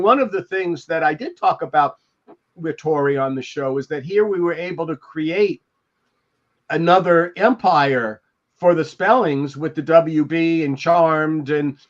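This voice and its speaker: Older man for panel reminiscence: a touch high-pitched, emphatic and rambling, on a dull-sounding call from home. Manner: digressive, halting, emphatic. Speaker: podcast host, former TV producer. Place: home room, video call. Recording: dull, muffled video call audio.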